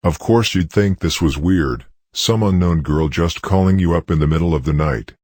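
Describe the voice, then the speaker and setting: A man's voice: low-pitched, moderate pace, a little mellow, no echo, clean studio sound. A man voicing a dead young American girl, chatty and eerie, in a horror story. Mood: chatty, bright.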